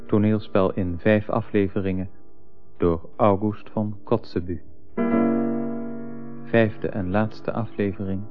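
A man giving a measured, deliberate scripted introduction to a radio drama as narrator. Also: on the low side, little pitch movement. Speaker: announcer, narrator. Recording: dull lo-fi radio recording. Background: loud piano music.

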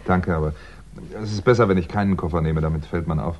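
An actor playing a wry, understated fugitive crime writer in a 1960s German radio play. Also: male voice, deep voice, quick pace, darkish tone, natural voice. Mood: weary, muttering. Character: fugitive crime writer, dry, weary, polite man on the run. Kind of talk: 1960s German radio play acting and first-person narration. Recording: dull, noisy 1960s radio recording.

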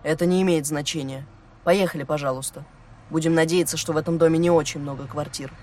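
A man playing a reserved, determined teenage boy who talks calmly and tersely. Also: on the high side, fast talker, slight background noise, natural voice. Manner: calm, terse. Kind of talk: audio drama acting.

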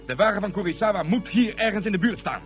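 High, urgent colonel. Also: male voice, expressive, fast. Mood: urgent, commanding. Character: commanding colonel barking orders. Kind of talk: radio drama acting. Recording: music behind the voice.

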